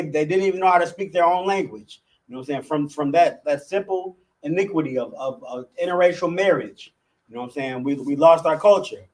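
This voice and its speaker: A man's voice: a little high, far from the mic, rough recording. A man teaching a class in a casual, conversational way who keeps saying "you know what I'm saying" and often restarts his sentences. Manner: chants letter names loudly.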